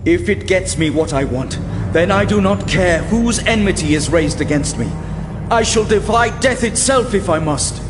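An actor playing a devoted, defiant elf prince in an audio drama, speaking solemnly and formally. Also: male voice, high voice, animated, normal speed, music in the background. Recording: long reverberant echo.

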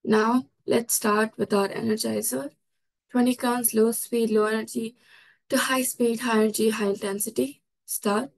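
A woman's voice: fairly high pitch, fairly even intonation, moderate pace, creaky, rough recording. A woman leads a guided meditation and exercise routine, giving instructions in a small room at home. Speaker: session leader of a meditation routine.

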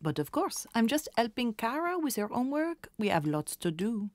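A woman's voice: on the high side, big pitch swings, moderate pace, no room sound. An actress playing a kindly French woman working at a hotel in a children's audio story, with a French accent. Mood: kindly, encouraging.

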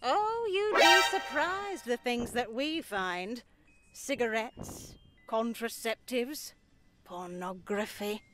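A woman voicing a stern, pious elderly nun in a visual novel game, measured, formal and sanctimonious. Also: on the high side, expressive, music in the background. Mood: disapproving.

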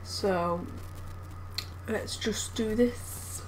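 Slow, hesitant woman gaming. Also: medium pitch, low-quality recording. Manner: soft-spoken, hesitant, full of ums and groans. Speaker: gameplay commentator. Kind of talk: casual gameplay commentary. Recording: loud background noise from the game. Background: game sounds.

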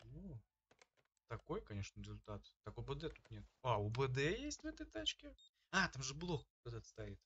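A man's voice: mid-range voice, big pitch swings, moderate pace, fairly bright tone, breathy edge. A man giving casual let's-play commentary as a gaming host, talking informally and slangily as he thinks aloud. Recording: dull lo-fi microphone sound.

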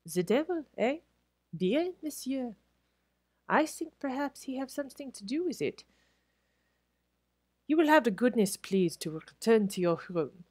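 A woman's voice: fairly high pitch, sing-song, a little slow, long pauses, dark tone, slightly rough, no echo, pitched above the performer's own voice, exaggerated voice. A woman voicing a stern, menacing French head waiter who speaks broken English with a French accent. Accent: French, put on.

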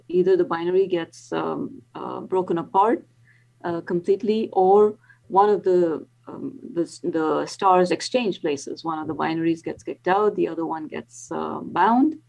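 A woman's voice: lowish voice, vocal fry, a little mellow, rough recording. A woman in her sixties, a planetary scientist, explaining carefully on a video call with frequent ums and restarts. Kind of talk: explanatory interview answers.

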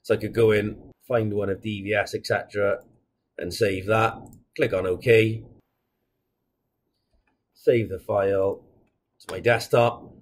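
A man in his thirties giving a casual software tutorial, explaining each step in a plain, informal way as a product demonstrator. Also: on the low side, fairly even intonation, unhurried, long pauses, a little hiss or hum. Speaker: product demonstrator for a security equipment supplier.

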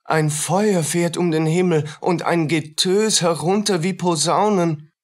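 An actor playing a haunted, humble poor soldier in a radio play, speaking in halting fragments with a husky voice. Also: male voice, fairly high pitch, fairly even intonation, lightly acted. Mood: haunted, fearful.

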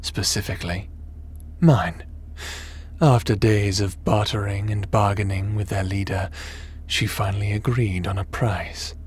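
A man playing a cold, scheming crime boss in a sci-fi audio drama, speaking in a menacing, measured, smug and theatrical way. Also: lowish voice, fairly even intonation, a little hiss or hum, natural voice. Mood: cold, unapologetic.

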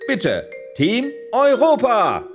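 Showy quizmaster, audio drama; slow, drawn-out theatrical delivery, wildly animated, music and audience behind. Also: male voice, extremely high pitch, full character voice. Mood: showy, teasing. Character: showy, teasing male TV game show host. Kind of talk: game show presenting in an audio drama.

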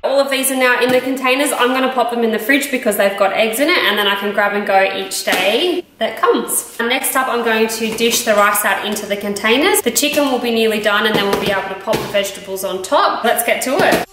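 A woman's voice: fairly high pitch, fairly quick, fairly bright tone, faint music behind. A woman in her thirties with an Australian accent, casually explaining a cooking routine to camera in a home kitchen. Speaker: health vlogger. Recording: echoey kitchen with background noise.

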